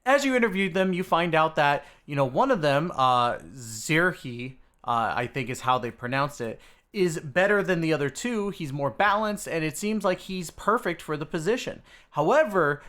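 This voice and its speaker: A man's voice: a little high, lively intonation, normal speed, fairly bright tone. A man hosting a video, giving a casual, informal game story recap straight to his viewers. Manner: informal, addresses viewers as dudes and guys. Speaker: video host.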